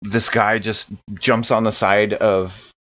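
A man tells a first-person account in character for a tabletop game podcast. He sounds shaken and halting, and the recording is a little muffled and lo-fi. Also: fairly low pitch, flat delivery, fairly bright tone. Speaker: player in a tabletop roleplaying podcast. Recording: slightly dull, lo-fi microphone.

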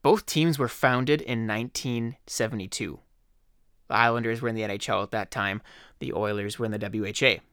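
Man, hoarse, podcast host, casual rambling talk, dry sound. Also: on the low side, fairly even intonation, normal speed, a bit bright, dry recording. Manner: rambling, conversational, with fillers.

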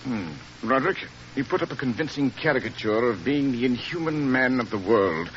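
An actor playing a troubled, earnest doctor with a hesitant manner in a radio drama. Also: male voice, medium pitch, lively intonation, fairly quick, a bit bright, natural voice. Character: troubled, earnest physician, grieving and polite. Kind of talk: old radio drama acting. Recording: muffled old radio recording, faint noise.

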